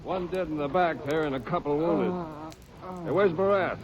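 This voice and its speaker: A man's voice: fairly high pitch, normal speed, crowd noise, natural voice. A man playing a fellow Texas Ranger in a 1940s radio drama, plain-spoken and practical. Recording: old radio broadcast, distant.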